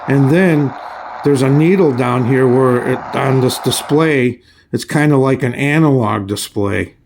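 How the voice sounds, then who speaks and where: Halting man demoing gear, noisy recording. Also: medium pitch, darkish tone. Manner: hesitant, halting, repeats and restarts phrases. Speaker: radio reviewer. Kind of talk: casual hands-on product demonstration.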